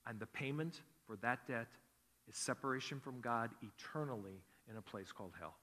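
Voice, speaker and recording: A man's voice: mid-range voice, normal speed. Preaching man in a reverberant church. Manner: earnest preaching, swells then drops to soft emphasis. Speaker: pastor. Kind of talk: sermon. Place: church sanctuary. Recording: long hall reverb.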